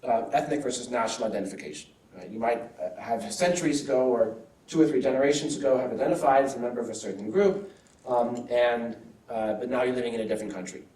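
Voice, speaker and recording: A man's voice: mid-range voice, fast, darkish tone. A man, an author, answering audience questions in an academic talk, thoughtful, often checking with "right?". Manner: thoughtful, frequent "right?" tags. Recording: roomy, mic at a distance.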